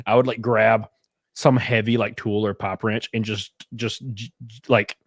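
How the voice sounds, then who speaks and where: A man's voice: lowish voice, little pitch movement, moderate pace, no echo. A man hosting a casual podcast chat, rambling with lots of fillers. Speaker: streamer host.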